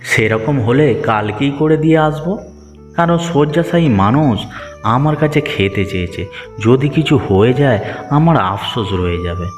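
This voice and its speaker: A man's voice: medium pitch, animated, moderate pace, close to an everyday voice. A man playing a dutiful, good-natured village family man in a moral-story cartoon, earnest and familiar in manner. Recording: reverberant hall effect, music behind.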